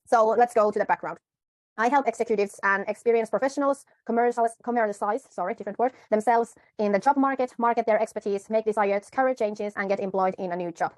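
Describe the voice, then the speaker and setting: A woman coaching viewers through a media pitch in a tutorial, unhurried, pausing and correcting herself often. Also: no room sound. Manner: unhurried, with frequent pauses and self-corrections. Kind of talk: tutorial talk to camera.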